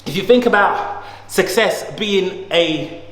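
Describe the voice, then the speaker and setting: A man's voice: high-pitched, slow, fairly bright tone. Emphatic slangy man in an echoey room. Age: 30s. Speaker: guest, entrepreneur. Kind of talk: casual conversation. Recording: echoey room with long reverb.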